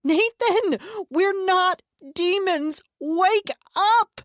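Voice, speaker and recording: A woman's voice: very high-pitched, very slow, dry recording, studio quality, voice pushed up, heavily acted. A woman voicing a terrified young hostage who sobs and pleads with her brother. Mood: sobbing plea. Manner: sobbing, pleading.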